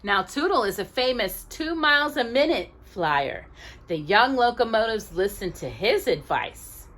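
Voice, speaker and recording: A woman reads a children's story in an expressive way. Her pace is slow and her pitch moves a great deal, with deliberate, drawn-out emphasis meant for young listeners.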